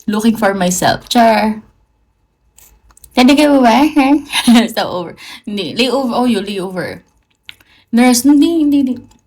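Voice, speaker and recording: Female livestreamer, Filipino accent, slightly high voice, casual and playful. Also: lively intonation, a little slow, long pauses, darkish tone. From Philippines. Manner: playful, chatty, mixes English into her talk. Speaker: livestream host.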